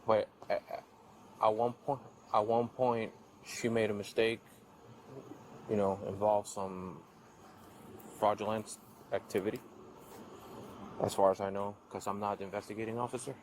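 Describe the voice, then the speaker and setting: A man's voice: fairly low pitch, steady tone, normal speed, long pauses, a little mellow, a little hiss or hum, poor audio. A man, a police officer talking hesitantly at someone's front door, with many restarts. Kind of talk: casual talk at a doorstep. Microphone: body camera.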